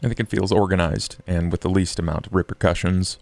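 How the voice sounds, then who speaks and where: A man voicing a very tall, calm vampire, speaking formally and deliberately with a gravelly voice. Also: sits low. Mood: calm, measured.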